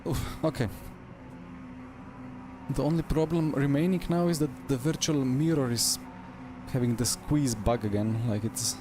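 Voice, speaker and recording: A man with a Slovenian accent talks through a wireless headset during a sim racing stream. His speech comes in short, fragmented bursts as he mutters to himself and his chat, in an ordinary mid-range voice. Game engine noise sits clearly beneath him. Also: mid-range voice, a little slow. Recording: game car noise underneath.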